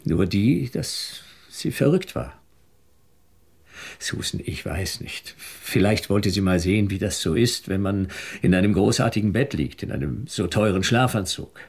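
A man's voice: on the low side, lively intonation, unhurried, gravelly, a little mellow, slightly rough, heavily acted. An actor playing a weary, defensive husband pleading with his wife in a German radio drama.

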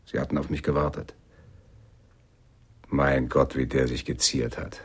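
An actor playing a wounded, lovelorn jazz pianist in a noir radio drama, terse and brooding. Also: male voice, extremely low pitch, flat delivery, unhurried, breathy edge, full character voice. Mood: bitter, recalling.

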